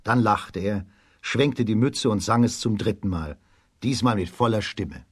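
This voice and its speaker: Guy narrating a kids radio play, storybook style, lowish slightly rough voice, no room sound. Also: dry recording, studio quality. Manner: measured storybook delivery.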